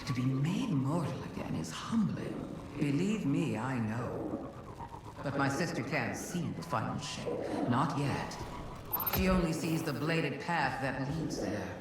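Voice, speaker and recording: An actor playing a grave, humbled alien deity in a game cutscene, solemn and deliberate, in heavy echo and loud ambience. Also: medium pitch, lively intonation, close to an everyday voice. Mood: grave, brooding. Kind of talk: scripted game cutscene monologue. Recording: heavily echoing, loud ambient noise.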